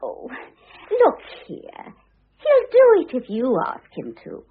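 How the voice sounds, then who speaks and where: A spoiled young society wife in an old radio play. Her high voice leaps and swoops with breezy, selfish flippancy. The recording is narrow and muffled. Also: huge pitch swings, slow pace, husky, exaggerated voice. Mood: self-justifying. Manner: breezy, flippant, self-pitying. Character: vain, grasping, frivolous young society wife in her twenties. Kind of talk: classic stage-style radio drama acting.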